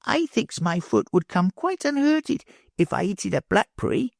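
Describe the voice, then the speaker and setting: A woman reading an audiobook, voicing a greedy, eager small boy in excited baby talk. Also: medium pitch, moderate pace, gravelly, no room sound, studio quality, voice pushed up. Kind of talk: audiobook character voice.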